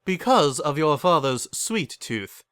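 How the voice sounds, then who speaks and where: A man voicing a prim, sharp-tongued middle-aged unicorn noblewoman in a storybook, haughty and reproachful. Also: on the high side, very animated, slow pace, clear, resonant tone, dry recording, studio quality, voice pushed up, full character voice.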